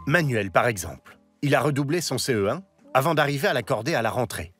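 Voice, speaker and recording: A man narrating a documentary in a measured, scripted voice-over. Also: medium pitch, lively intonation, fairly bright tone. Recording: voice-over with faint music.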